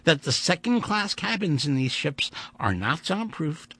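A man voicing a calm male android that speaks in a level, formal way. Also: fairly high pitch, measured pace, husky, pitched above the performer's own voice, heavily acted. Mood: flat.

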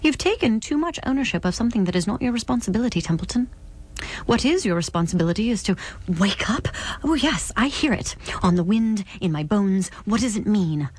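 A woman's voice: mid-range voice, animated, fairly quick, a little mellow, natural voice. A woman voicing a stern, cryptic otherworldly visitor in a dramatic book reading, solemn and commanding. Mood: cryptic, firm.

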